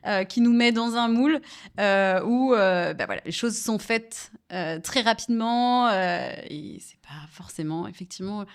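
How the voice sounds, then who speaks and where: A woman's voice: mid-range voice, a little slow, smooth. A woman from France chatting casually and reflectively, asking questions and using fillers. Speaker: co-host and interviewer. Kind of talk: casual conversation.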